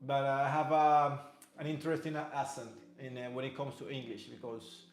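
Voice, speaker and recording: A Cuban-born man with a Spanish accent, a native Spanish speaker, leading a workout in a halting, self-correcting, encouraging way. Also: mid-range voice, flat delivery. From Cuba. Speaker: fitness coach. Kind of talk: workout instruction. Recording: echoey room with music behind.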